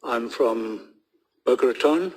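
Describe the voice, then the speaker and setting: South African man, husky, brisk audience questioner. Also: mid-range voice, darkish tone, rough recording. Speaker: audience member asking a question.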